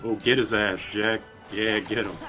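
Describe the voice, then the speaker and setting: Male game streamer, low register, casual sweary commentary over game music. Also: steady tone, normal speed.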